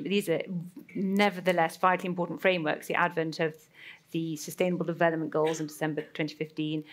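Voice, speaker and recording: A woman speaks on a conference panel in long, deliberate sentences. She leans on key words for emphasis. Her voice sits somewhat low. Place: conference hall.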